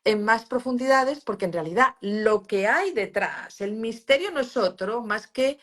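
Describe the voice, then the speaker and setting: A middle-aged woman from Spain with a Spanish accent speaks with a fairly high, very animated voice. She explains things emphatically, like a teacher, with frequent fillers and restarts. Valencian is her first language. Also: a little slow. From Valencia region, Spain. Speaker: pain therapist, guest. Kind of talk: explanatory interview talk.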